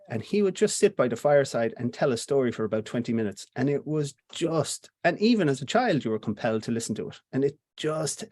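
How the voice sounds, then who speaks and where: A man's voice: medium pitch, a little mellow. An Irish playwright in conversation, a man with a middle-range voice. He explains at length and reflectively, often circling back to restart a thought. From Ireland. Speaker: playwright guest. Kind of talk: conversational interview answers.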